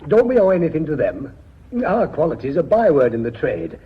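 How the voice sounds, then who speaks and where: Plain-spoken mill director, very rough husky voice, fairly high, homely, radio play, dull recording. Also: male voice, very animated, fairly quick, heavily acted. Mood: earnest, indignant. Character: plain-spoken, earnest male mill board member. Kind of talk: British radio drama acting.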